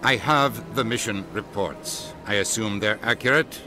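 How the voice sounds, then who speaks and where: An actor playing a testy, authoritative ambassador in a video game, curt and reproving. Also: male voice, fairly low pitch, a little slow, over music, close to an everyday voice.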